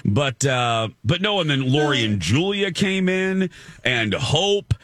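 A man co-hosting a casual chat show, telling stories in a deep voice with lots of restarts. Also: slow, dry recording, clean studio sound. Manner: animated storyteller, frequent restarts. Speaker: co-host.

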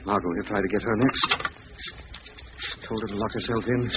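An actor playing a brooding, deliberate amateur detective piecing clues together in an old-time radio drama. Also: male voice, fairly low pitch, fairly even intonation, lightly acted. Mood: urgent worry. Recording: thin, phone-like, noisy vintage radio recording.

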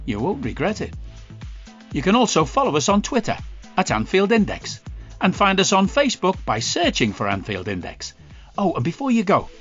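A man's voice: on the high side, a bit bright. A man reading a scripted promotional outro as a podcast announcer. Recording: slightly dull audio over music. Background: music.

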